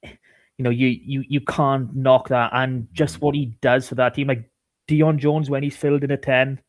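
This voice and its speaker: A man co-hosting a sports podcast, talking casually about rugby in a halting way, often repeating words. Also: medium pitch, little pitch movement, no room sound.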